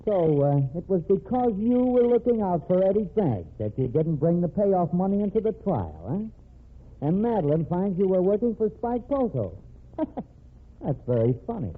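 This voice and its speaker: Brash reporter, animated, old radio. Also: male voice, high voice, a little slow, slightly rough, phone-quality sound, heavily acted. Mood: sardonic. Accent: American. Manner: cocky, sardonic, slangy. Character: brash, wisecracking male newspaper reporter. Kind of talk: old-time American radio drama acting. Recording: thin, band-limited, noisy old radio recording.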